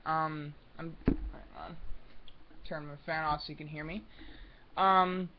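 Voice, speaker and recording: High-voiced young man, muffled recording. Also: steady tone, a little slow. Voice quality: high, soft voice. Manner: rambling, many ums and restarts. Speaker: vlogger. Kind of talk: unscripted casual monologue. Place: room at home.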